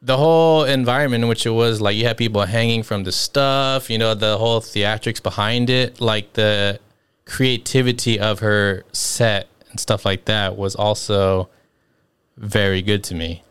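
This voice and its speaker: Man, podcast co-host, low voice, dry close sound, casual, hesitant, easygoing. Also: fairly even intonation, moderate pace, a bit bright, clear, resonant tone, no room sound. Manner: easygoing, hesitant, lots of "like" and restarts. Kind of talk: casual chat.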